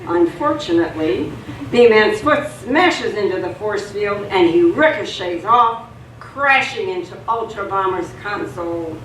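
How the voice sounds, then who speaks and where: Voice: mid-range voice, animated, a little slow, fairly bright tone. In an echoey room with faint noise, a narrator reads a radio play. The delivery is scripted dramatic storytelling, picked up at a distance from the mic.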